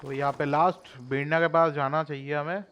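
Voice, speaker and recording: Fast-talking gameplay commentator. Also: male voice, fairly high pitch, flat delivery, a bit bright, muffled recording, a little hiss or hum. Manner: offhand, thinking aloud.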